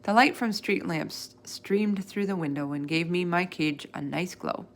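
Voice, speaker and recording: A woman reading a children's storybook aloud in an expressive, animated way, acting out the dialogue. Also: on the low side, a little slow. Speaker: storybook reader.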